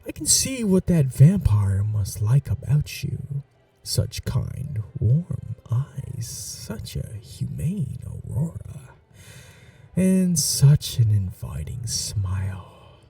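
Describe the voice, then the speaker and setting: A man voicing an ancient, cunning male vampire lord in a whispered ASMR roleplay, courtly and seductive, with a husky, half-whispered voice. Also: mid-range voice, wildly swooping pitch, warm tone, far from the mic, heavily acted. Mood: charming, courtly. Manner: courtly, slow and seductive, turning menacing.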